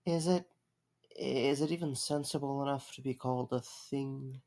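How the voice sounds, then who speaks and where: A man's voice: medium pitch, steady tone, moderate pace, darkish tone, close to an everyday voice. A man acting an impatient, sardonic theatergoer in an audio drama, grumbling and sarcastic.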